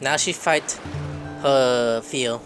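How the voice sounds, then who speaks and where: A woman's voice: a bass voice, drawn-out words. A woman streamer giving casual, blunt, slangy reaction commentary over a game. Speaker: gaming streamer. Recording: loud game music behind the voice.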